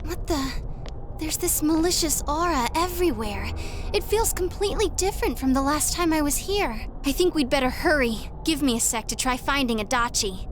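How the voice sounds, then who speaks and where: A woman voicing an excitable, easily exasperated teenage girl in a video game, expressive and peppy. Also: high-pitched, moderate pace, noisy background, natural voice. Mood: anxious, exasperated.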